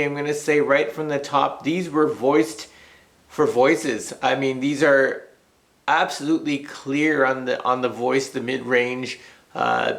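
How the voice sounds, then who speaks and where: A man hosting a casual, unscripted product review, speaking tentatively with pauses and approximations. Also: mid-range voice, creaky. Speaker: host of a hi-fi speaker review show.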